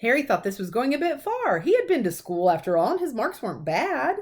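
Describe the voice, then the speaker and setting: A woman reading a children's story aloud like a teacher, in expressive narration. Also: a little high, fairly quick.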